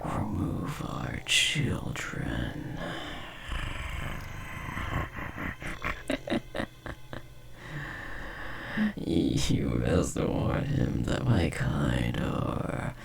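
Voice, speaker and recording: A creepy bug parasite lover, super slow croaky whisper, doting but menacing, hissy audio horror roleplay. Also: male voice, rumbling low, animated, exaggerated voice. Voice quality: breathy, gravelly whisper. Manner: slow, theatrical, crooning.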